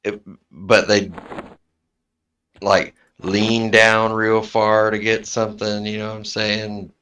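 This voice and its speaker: A man chatting casually on a stream, talkative and quick to laugh. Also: fairly low pitch, completely flat delivery, bright, forceful tone, slight background noise, rough recording. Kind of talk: casual chat.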